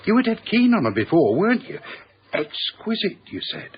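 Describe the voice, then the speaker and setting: Cheeky Yorkshireman, very lively intonation. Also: high-pitched, moderate pace, dull audio, a little hiss or hum. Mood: matey, blunt. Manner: blunt, teasing, colloquial. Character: earthy, cheeky, evasive chip-shop owner. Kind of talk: radio drama dialogue.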